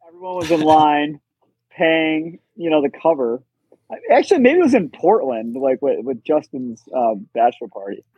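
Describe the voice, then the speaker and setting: A man's voice: fairly high pitch, breathy edge, no room sound, lo-fi sound. A man co-hosting a podcast, telling rambling anecdotes in casual chat and correcting himself as he goes.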